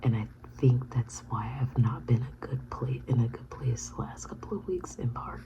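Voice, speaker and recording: A woman in her thirties whispering a personal vlog, halting and reflective. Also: very deep voice, lo-fi sound. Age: 30s. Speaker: vlogger.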